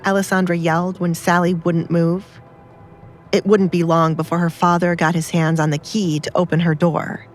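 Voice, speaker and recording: A woman's voice: lowish voice, flat delivery, moderate pace, soft background music. A woman narrating an audiobook drama in a scripted storytelling style.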